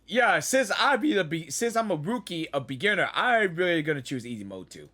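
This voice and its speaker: A woman gamer giving casual, excitable reaction commentary, often saying "like" and "dude". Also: lowish voice, brisk. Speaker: gamer reacting to a game on camera.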